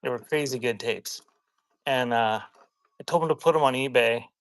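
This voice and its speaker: Bright-voiced man hosting a casual live sale. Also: mid-range voice, normal speed, no echo. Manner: informal, rambling, conversational. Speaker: live auction seller, host. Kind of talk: casual live-selling patter with chatty anecdotes.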